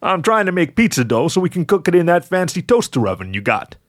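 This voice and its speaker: A man acts out a cheeky male fox in a narrated story. His voice sits on the higher side and swings about animatedly. He is playful and eager, quick to get defensive, with a hesitant stammer. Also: big pitch swings.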